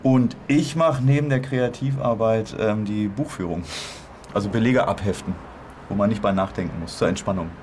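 A man in his thirties talks in a relaxed, chatty way, his voice on the low side. He is miked on a lapel in a backstage room, with faint room noise behind. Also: moderate pace. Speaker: singer and band founder. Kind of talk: casual interview.

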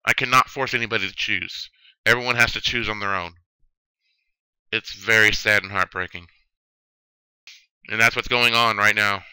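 Thirties male, solemn preaching testimony, very bright and crisp voice, dry close sound. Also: fairly low pitch, steady tone, dry recording, poor audio. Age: 30s. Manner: solemn, drawn-out preaching cadence with sudden stresses. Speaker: video testimony speaker. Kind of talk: earnest religious testimony monologue.

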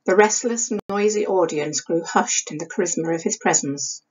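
A woman in her sixties reading historical fiction aloud as the author, in an expressive audiobook style. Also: on the low side, normal speed, husky. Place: small home study, indoors. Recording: dull lo-fi webcam sound.

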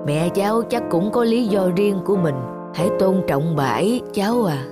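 A woman voicing a kind, wistful older male neighbour in a cartoon, gentle and resigned in manner. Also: medium pitch, animated, normal speed, over loud music, natural voice.

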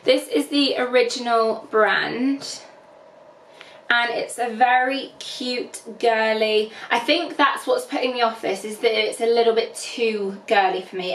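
A woman's voice: on the high side, a bit bright, slight background noise. A young woman chatting casually to camera about clothes she is trying on, recorded in her bedroom on a camera a few feet away. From England. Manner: chatty, candid, gestures while holding up clothes. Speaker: fashion vlogger. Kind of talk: casual try-on haul chat. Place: bedroom at home. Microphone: camera on tripod at a few feet. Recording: roomy bedroom echo with music.

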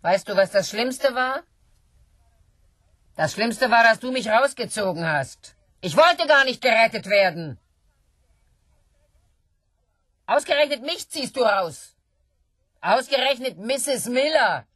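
An actress playing an injured, hard-drinking, needy and taunting woman in a German radio drama, swinging from muttered to shrill. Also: animated, measured pace, fairly bright tone, no echo, studio quality. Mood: agitated, reproachful.

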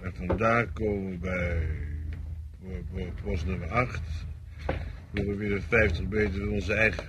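A low male voice plays an insecure army lieutenant in a radio drama. He hesitates and stammers, trying to sound in charge. The recording is faintly noisy. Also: fairly even intonation, measured pace. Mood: hesitant, muttering. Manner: hesitant, stammering, deferential to superiors. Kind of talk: Dutch radio play acting.

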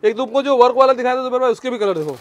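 A middle-aged man, pitching clothes and kitchenware in his store with upbeat, repeated sales talk. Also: rapid-fire. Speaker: shopkeeper. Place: large indoor clothing and household store. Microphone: lapel mic.

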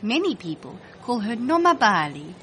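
A woman reading a children's storybook aloud as a scripted narration, with deliberate, expressive phrasing. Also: a little high, a little hiss or hum.

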